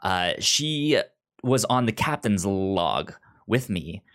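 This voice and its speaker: A man's voice: on the low side, expressive, takes it slowly, a bit bright. A man hosting a podcast in a casual, chatty style. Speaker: podcast host.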